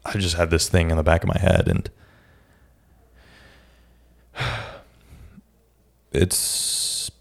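A young man talks through his week on a solo podcast, his voice low and raspy with a gravelly edge. He speaks in an even, flat tone, restarting his sentences often. His delivery is casual and reflective. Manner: reflective, halting, many restarts. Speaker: podcast host.

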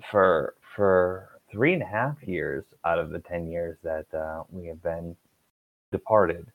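Deep gravelly young man, dull lo-fi sound. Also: takes it slowly. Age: 20s. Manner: easygoing, wry, rambling. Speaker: guest, cook. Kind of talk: casual chat.

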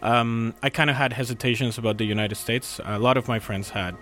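A man's voice: medium pitch, fairly even intonation, a little fast, a bit bright. A man in his thirties from Colombia, speaking English as a filmmaker guest. He is friendly and chatty, rambling a little and reaching for words with fillers. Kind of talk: casual interview chat.

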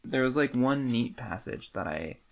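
A man co-hosting a podcast, talking in a casual, halting, conversational way. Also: medium pitch, animated, creaky. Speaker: podcast co-host. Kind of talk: casual book discussion.

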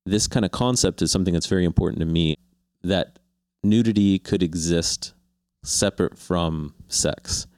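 A low-pitched man interviews a guest in a conversational style. He builds his questions thoughtfully, haltingly, circling round the point. The sound is dry and close, with hardly any room. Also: a little mellow, smooth, dry recording. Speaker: interviewer, host. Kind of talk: conversational long-form interview.

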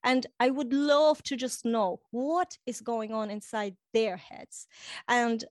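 A woman from Estonia whose first language is Russian, speaking English with a light Russian accent as a guest coach in a casual interview, animated and often laughing. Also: a little high, slow, dry recording, studio quality.

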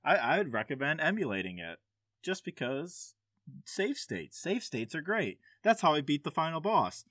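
A man's voice: a little high, very animated, moderate pace, fairly bright tone, no echo. A man hosting a podcast in casual, jokey conversation, swearing freely. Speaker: podcast host. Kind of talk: casual chat.

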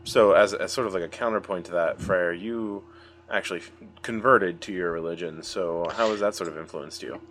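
A man's voice: low-pitched, moderate pace, a bit bright. An American man hosting a casual podcast chat, with playful introductions and loose, thinking-aloud questions. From United States. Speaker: podcast host.

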